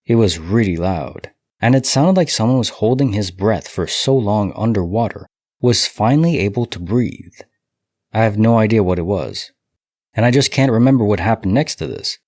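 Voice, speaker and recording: A man hosting a podcast, reading listener stories aloud and musing on them in a relaxed, speculative way with light chuckles. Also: lowish voice, moderate pace, no room sound, clean studio sound. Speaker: podcast host.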